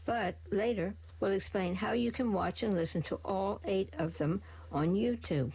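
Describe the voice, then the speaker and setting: A woman radio presenter reading scripted narration in a steady, informative way. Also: medium pitch, normal speed, creaky, husky.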